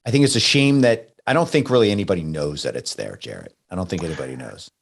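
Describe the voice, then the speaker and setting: A man's voice: low-pitched, animated, fast, gravelly, breathy edge. A man hosting a podcast in a casual, conversational way, rambling and feeling his way through his points. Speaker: podcast host.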